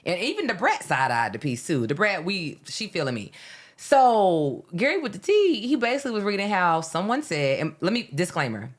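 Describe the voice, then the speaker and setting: A woman's voice: on the low side, moderate pace. A woman in her late thirties from South Carolina, hosting a podcast and telling stories with a laugh in casual chat. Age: 30s. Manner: animated storyteller, laughs often. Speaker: podcast host.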